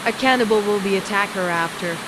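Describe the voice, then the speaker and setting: A woman delivers a scripted emergency broadcast announcement in an ordinary mid-range female voice. The recording is very noisy, with a loud, steady hiss and rushing sound over her words. She speaks as the announcer of an interrupted programme. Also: medium pitch.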